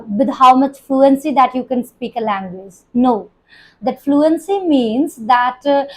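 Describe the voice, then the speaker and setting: A woman's voice: high-pitched, darkish tone, rough recording. A young woman with an Indian English accent with a Punjabi touch, teaching an English-test lesson with many fillers and restarts. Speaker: IELTS coaching teacher. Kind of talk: spoken lesson to students.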